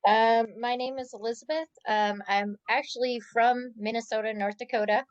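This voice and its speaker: A woman introducing herself to a class in a soft-spoken, hesitant way. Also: fairly high pitch, fairly even intonation, moderate pace, clean tone, no echo, muffled recording. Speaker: student.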